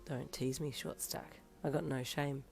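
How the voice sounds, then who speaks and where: A woman voicing a cocky, teasing young man who can turn gentle and reassuring. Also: rumbling low, steady tone, a little slow, creaky, breathy edge, faint music behind, pitched below the performer's own voice, exaggerated voice. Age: young adult.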